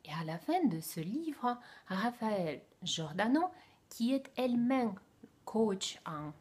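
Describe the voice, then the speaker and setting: Russian-accented woman, non-native speaker, unhurried and airy, hesitant book-review host. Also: medium pitch, sing-song, breathy edge. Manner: careful, hesitant, with soft whispered asides. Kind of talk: casual book review to camera.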